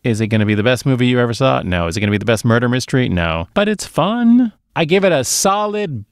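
A man in his thirties or forties gives casual film opinions as a podcast co-host. He has a mid-pitched voice, captured close and dry in a small studio. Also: very animated, quick pace, clean tone, no echo. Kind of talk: casual film review chat. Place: small dark home studio. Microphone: close podcast microphone on a boom arm.

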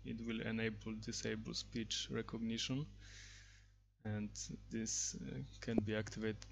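A man giving an unscripted software demo in a hesitant, halting way. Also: fairly low pitch, flat delivery, creaky, a little hiss or hum. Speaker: app developer demonstrating software.

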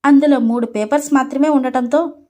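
A woman's voice: high-pitched, steady tone, fairly quick, warm tone. A woman storyteller reading scripted narration for a story.

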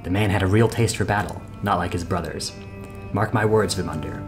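A young man hosting a game playthrough, reading character dialogue aloud in a plain voice and then chatting casually about his choices. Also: sits low. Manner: reads character lines plainly, then chats casually with pauses and fillers. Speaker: let's play host. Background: game music.

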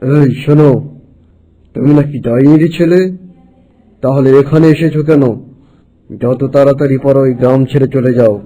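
Man voicing a dark-toned menacing villager. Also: medium pitch, fairly even intonation, moderate pace, long pauses, close to an everyday voice, low-quality recording. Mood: threatening. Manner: menacing, commanding. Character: threatening, hostile village man. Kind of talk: Bengali animated fairy-tale acting.

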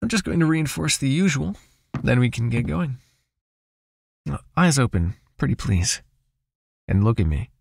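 A man playing a possessive, seductive vampire master in an ASMR hypnosis roleplay, murmuring breathily and slowly in an intimate, coaxing, softly commanding way. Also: mid-range voice, lively intonation, creaky, no echo, clean studio sound. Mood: possessive, hypnotic.

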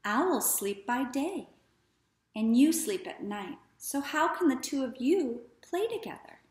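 Woman as storybook mom, sensible, gently chiding, echoey living room. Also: high-pitched, lively intonation, slow, voice pushed up. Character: sensible, gently firm mother of young boy.

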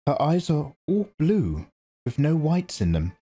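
A man's voice: on the high side, huge pitch swings, measured pace, mellow, breathy edge, voice pushed up, heavily acted. A man reading aloud and voicing a wary, serious teenage boy who answers in a plain, guarded way. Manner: plain, guarded, matter-of-fact.

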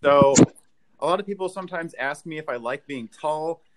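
A man in his thirties doing a stand-up set with deadpan one-liners, filmed on a webcam at home. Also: fairly high pitch, moderate pace, poor audio. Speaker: stand-up comedian. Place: living room at home. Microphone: webcam, video call framing.

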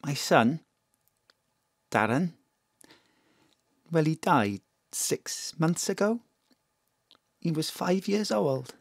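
A woman's voice: low-pitched, sing-song, drawn-out words, vocal fry, pauses often, husky, no room sound, heavily acted. A woman playing a polite, hesitant, old-fashioned mother in her thirties, dignified in grief, in an audio drama. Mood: grieving. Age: 30s.

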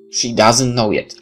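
A man playing a game on camera-free commentary, reading its narration aloud and adding remarks of his own. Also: mid-range voice. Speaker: let's player. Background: game music.

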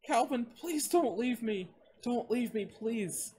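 Man voicing a high, desperate, pleading wounded young man. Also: expressive, slow, a little mellow, a little hiss or hum, heavily acted. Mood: tearful pleading. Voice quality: strained, cracking. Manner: begging, gasping.

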